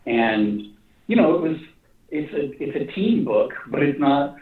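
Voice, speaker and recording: Echoey, distant man talking haltingly on a call. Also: fairly high pitch, big pitch swings, narrow, tinny audio. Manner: halting, rambling, repeats phrases as he thinks aloud. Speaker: animation and comic writer being interviewed. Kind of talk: casual interview conversation.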